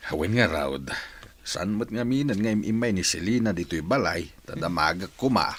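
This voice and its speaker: A man playing a resigned, steady old village husband in a radio drama. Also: deep voice, moderate pace, husky, full character voice.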